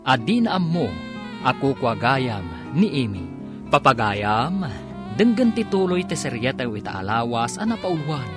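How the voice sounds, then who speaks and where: A man delivers scripted, dramatic narration for a radio serial. His mid-pitched voice swings expressively over a clear bed of guitar music. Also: very animated, measured pace.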